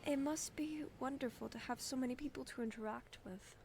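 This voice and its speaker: A woman's voice: high voice, brisk, vocal fry. A woman playing a polite, deferential, wistful young female genie in a cartoon comic dub, with formal, courteous phrasing. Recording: music behind the voice. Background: music.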